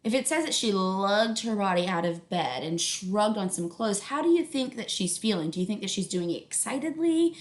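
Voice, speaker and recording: A woman reading a story aloud like a teacher, pausing to explain and check understanding with young listeners. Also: medium pitch, lively intonation. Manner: instructional, checks understanding, affirms listeners' answers. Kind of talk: read-aloud narration with questions to young listeners. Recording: echoey room sound.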